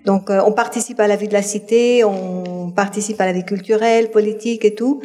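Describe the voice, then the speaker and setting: A woman's voice: mid-range voice, steady tone, fairly quick, darkish tone. Hesitant woman in a reverberant hall. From France. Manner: hesitant, many fillers and restarts. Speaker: panel guest. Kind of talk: public panel talk. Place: public hall with audience.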